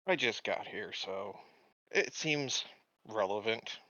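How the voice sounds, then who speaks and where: A man voicing an easygoing newcomer applying for colony security in a tabletop game, plain and a little halting. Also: lowish voice, expressive, sharp tone, no room sound, muffled recording, exaggerated voice. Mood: matter-of-fact. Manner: plain, matter-of-fact, halting.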